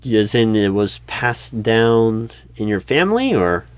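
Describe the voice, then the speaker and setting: A man's voice: fairly low pitch, sing-song, a little slow, clean tone, no echo. A man playing a polite, oblivious recruiting manager who stammers hesitantly and tactfully through a comedic one-sided job interview roleplay. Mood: awkward, businesslike.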